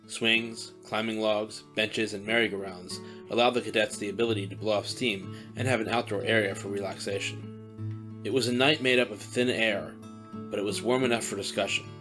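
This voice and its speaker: A man narrating a story in a plain, even storytelling manner. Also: on the low side, normal speed. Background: guitar music.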